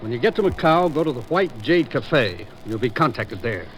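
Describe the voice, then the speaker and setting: A grave, urgent commissioner acted by a man in a vintage radio thriller. His mid-pitched voice is earnest and authoritative, on an old recording with faint noise. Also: expressive, normal speed, natural voice, low-quality recording. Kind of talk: 1950s radio drama acting.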